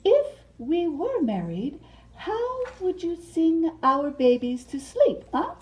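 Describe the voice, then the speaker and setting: Lady doing a fluttery high-pitched young bride, prim and polite, bouncy voice. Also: very animated, slow, a little mellow, heavily acted, low-quality recording. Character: prim young female cockroach bride being courted.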